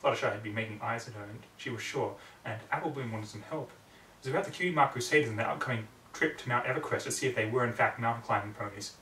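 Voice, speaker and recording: A man's voice: medium pitch, fast, sharp tone, slight background noise. A man narrating a story in a brooding, theatrical way, often in clipped fragments. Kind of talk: dramatic story reading. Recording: roomy echo, distant microphone.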